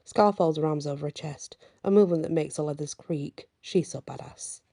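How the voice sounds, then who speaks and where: Female bedtime storyteller, low and mellow, soft ASMR narration with vocal fry. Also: normal speed, no room sound, clean studio sound. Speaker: storyteller, audiobook reader.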